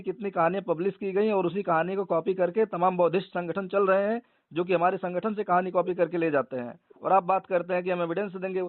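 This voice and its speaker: A man's voice: high-pitched, no echo. A man argues insistently in a heated phone debate, repeating his points. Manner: argumentative, insistent, repeats himself. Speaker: organisation leader debating a caller. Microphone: phone line. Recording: tinny narrowband phone call recording.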